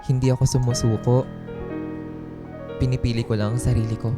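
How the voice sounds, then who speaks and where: A man's voice: mid-range voice, little pitch movement, brisk, over music, close to an everyday voice. An actor playing a worn-down but resolute male office worker in a radio drama, hesitant and heartfelt.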